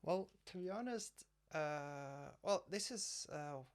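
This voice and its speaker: A man's voice: on the high side, lively intonation, takes it slowly, clean tone. A man from Uzbekistan, a PhD student and guest, speaking hesitantly with many fillers.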